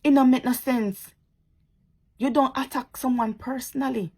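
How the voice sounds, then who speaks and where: A woman's voice: high-pitched, fairly even intonation, moderate pace, darkish tone, slightly rough. A woman with a Jamaican accent giving impassioned, preachy commentary to her social media viewers, repeating her points and turning sarcastic.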